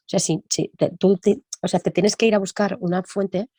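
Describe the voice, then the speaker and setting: A woman music teacher talking in an interview, thinking aloud and often tagging her points with quick questions. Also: medium pitch, fairly even intonation, mellow, husky, no room sound, studio quality. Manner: reflective, thinks aloud with frequent tag questions. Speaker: music teacher and percussionist guest. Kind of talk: conversational interview.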